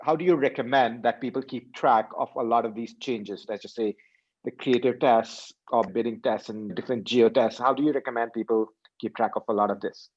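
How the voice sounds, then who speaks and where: A man's voice: mid-range voice, steady tone, a little fast, slightly rough. Man hosting interview over video call. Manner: attentive, frequent affirmations, recaps guest's points. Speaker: host. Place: indoor room by a window. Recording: slightly dull video call audio.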